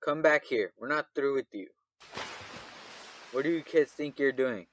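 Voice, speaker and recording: A man playing a gruff, impatient, bickering father in a radio drama. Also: mid-range voice, measured pace, slight background noise, lightly acted. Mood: angry, shouting.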